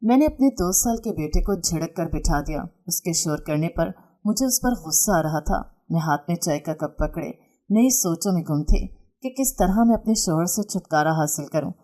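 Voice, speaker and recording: A woman narrating a first-person dramatic story in a measured, emotional storytelling manner. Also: on the low side, animated, dark tone.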